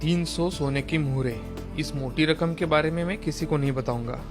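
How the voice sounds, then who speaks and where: Man playing an excitable high-voiced cartoon farmer. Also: normal speed, faint music behind, natural voice. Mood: secretive. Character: hard-working farmer husband, secretive, excitable, given to lamenting. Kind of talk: exaggerated Hindi moral-story cartoon dialogue.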